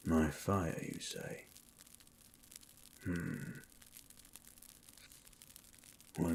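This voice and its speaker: A man playing a gruff, suspicious monster hunter in an ASMR roleplay, with a guarded, dry manner. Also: a little hiss or hum, full character voice, poor audio. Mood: wary, dry. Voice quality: low, gravelly-husky.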